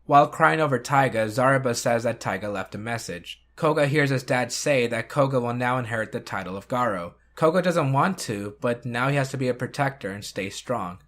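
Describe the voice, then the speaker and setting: A man narrates as the host of a retrospective video. His voice has an average pitch for a male. The delivery is scripted, moving between recap and review. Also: animated, a little fast, hardly any pauses, fairly bright tone.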